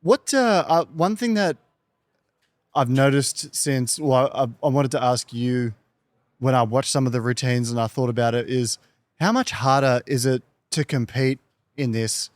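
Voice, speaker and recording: A man's voice: medium pitch, unhurried, no room sound. A man hosting a show, interviewing a guest in a conversational style.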